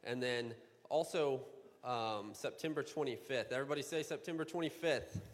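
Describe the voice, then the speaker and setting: A youth pastor giving lively, joking announcements from the church platform. His mid-pitched man's voice carries through a large sanctuary with a long ringing reverb. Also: moderate pace, a little hiss or hum. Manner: upbeat, joking, with bursts of excitement. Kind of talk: church announcements and humorous introduction.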